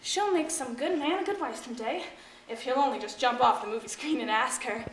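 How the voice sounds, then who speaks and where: A woman's voice: sits high, fairly quick, close to an everyday voice. A woman acting a chatty, fretful housemaid and disgruntled actress in a theatrical monologue, with dramatic, emphatic, gossipy delivery. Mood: chatty, philosophical. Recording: echoey room with faint noise and clicks.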